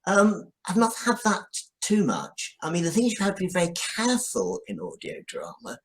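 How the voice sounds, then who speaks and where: A man giving reflective interview answers as a guest, explaining things in a thoughtful, halting way. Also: a little high, low-quality recording. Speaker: guest, drama writer.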